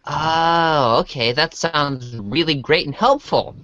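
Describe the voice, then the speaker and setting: A man's voice: on the high side, slow, pushed and bright, no room sound, lo-fi sound. A man playing a naive, incredulous teenage boy with dry sarcasm in an amateur reader's theater. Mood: skeptical. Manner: hesitant, incredulous, dryly sarcastic. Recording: faint noise behind home recording.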